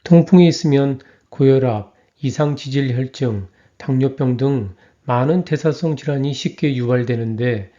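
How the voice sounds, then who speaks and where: A man reading a scripted health lecture in an even, measured way. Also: medium pitch, dull audio.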